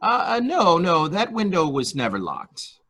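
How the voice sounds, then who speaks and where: A man's voice: sits high, wildly swooping pitch, measured pace, a bit bright, a little hiss or hum, full character voice. A man playing a deferential male nurse-attendant in a murder mystery reading, speaking formally, hesitantly and obsequiously. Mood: nervously formal. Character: deferential male nurse-attendant to a rich invalid, primly servile.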